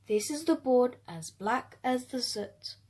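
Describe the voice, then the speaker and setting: A woman reading a rhyming children's story aloud in an expressive, measured way. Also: fairly high pitch, husky.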